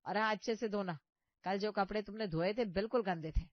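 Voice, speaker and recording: A woman's voice: medium pitch, a little fast, no room sound, muffled recording, close to an everyday voice. A woman voicing a stern, miserly mother-in-law in a comic story, bossy and demanding. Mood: scolding. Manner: scolding, bossy. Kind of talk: comic cartoon story voice acting.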